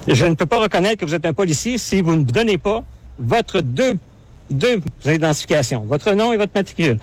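Defiant fast-talking man. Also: fairly high pitch, sing-song. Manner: insistent, defiant, demands badge numbers. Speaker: pedestrian arguing with police.

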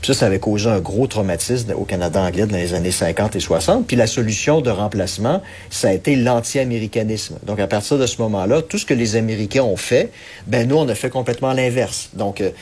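Low-voiced Québécois man, analytical. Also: fairly quick, a little mellow, breathy edge. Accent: Québécois French. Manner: earnest, analytical, hesitant with many fillers. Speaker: political commentator. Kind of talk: radio commentary segment.

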